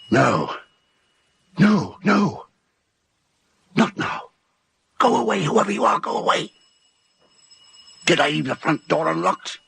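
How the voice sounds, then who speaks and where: An actor playing a smooth, coldly calculating middle-aged professor and husband in an old-time radio suspense drama. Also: male voice, on the high side, a bit bright, heavily acted. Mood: panicked, hushed. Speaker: lead actor and narrator.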